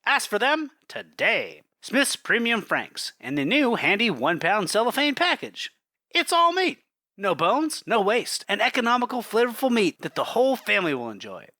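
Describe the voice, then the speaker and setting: A man's voice: sits high, big pitch swings, normal speed, bright, forceful tone, no echo, studio quality. A man announcing in the style of a 1940s radio sponsor read, with music behind.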